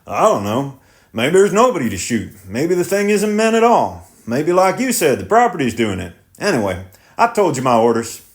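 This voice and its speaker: Curt young laborer, defensive and clipped, raised pitch; male narrator doing the voice. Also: big pitch swings, normal speed. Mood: resigned. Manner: terse, clipped working-man talk. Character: young male tractor driver, blunt and defensive.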